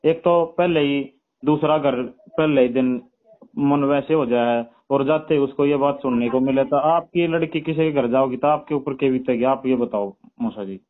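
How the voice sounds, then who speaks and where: A man's voice: mid-range voice, moderate pace. A young man with a Haryanvi accent, arguing on a recorded phone call and pressing his point with questions. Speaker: bride's brother in a family dispute. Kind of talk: argumentative phone conversation. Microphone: telephone.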